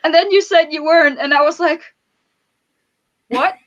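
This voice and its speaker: A woman with a Dutch accent and a clearly high voice chats informally with friends. She is emphatic and animated as she tells things, in the easy style of a book channel co-host. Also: fast talker, dull audio. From Netherlands. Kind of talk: casual group chat.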